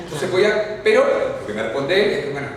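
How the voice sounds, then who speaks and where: High-voiced Chilean man lecturing in echoey hall. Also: expressive, quick pace, a little hiss or hum. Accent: Chilean Spanish. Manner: explanatory, checks in often with the audience, chatty asides. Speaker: orthodontics lecturer. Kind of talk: live classroom lecture with audience questions. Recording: echoey hall recorded from far off.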